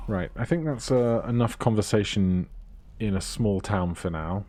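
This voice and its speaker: A man's voice: lowish voice, normal speed, darkish tone. A man giving casual let's play commentary, muttering through items with dry humour.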